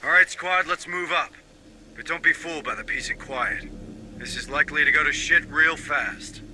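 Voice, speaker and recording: An actor playing a tense, foul-mouthed military squad leader in a video game, giving commanding orders. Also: male voice, on the high side, moderate pace, a little hiss or hum, low-quality recording. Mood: gruff, wary. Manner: forceful, commanding. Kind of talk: video game cutscene acting.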